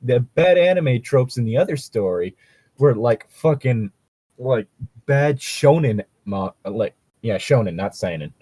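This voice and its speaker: A man's voice: mid-range voice, animated, measured pace, dark tone, slightly rough, dry recording. A man chatting casually as a co-host, joking and ranting sarcastically with plenty of swearing. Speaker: co-host reading and mocking fan fiction.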